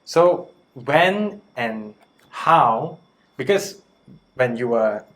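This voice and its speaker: A man hosts an interview in a medium-pitched voice. He asks his questions politely and at a measured pace, often starting with a hesitant "um". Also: animated, a bit bright. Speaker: interviewer, host.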